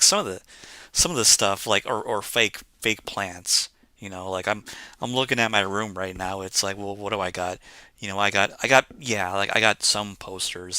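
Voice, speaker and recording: Bright-toned man rambling casually. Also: fairly low pitch, no room sound. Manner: rambling, filler-heavy with many "like"s. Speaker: podcast host. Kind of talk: casual chat.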